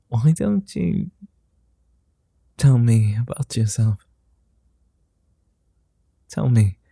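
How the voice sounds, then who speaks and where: A man voicing a gentle, flirtatious immortal vampire in an ASMR roleplay, speaking softly, slowly and teasingly. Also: mid-range voice, creaky, husky, no echo, clean studio sound, full character voice. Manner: soft, slow, flirty and teasing.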